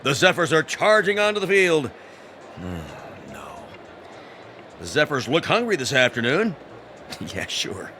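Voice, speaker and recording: A man's voice: mid-range voice, huge pitch swings, unhurried, pauses often, pushed and bright, slight background noise, exaggerated voice. A man playing a jaded, wisecracking baseball announcer in a radio drama, with showy, sardonic commentary. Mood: fed-up, sardonic.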